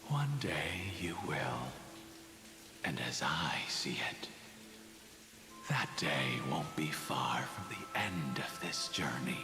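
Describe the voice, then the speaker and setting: Very deep, echoing ghostly man. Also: very animated, full character voice. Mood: grave, foreboding. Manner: slow, formal, foreboding. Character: ghostly shadow of memory, grave ominous man. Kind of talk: scripted video game drama. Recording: echoing long reverb over music.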